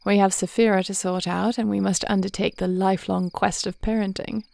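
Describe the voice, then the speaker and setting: A woman playing a devoted, wise dragon queen wife in an intimate fantasy audio roleplay, speaking in a measured, tender, formal way. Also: medium pitch, level tone, vocal fry, a little mellow, lightly acted. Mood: gently teasing.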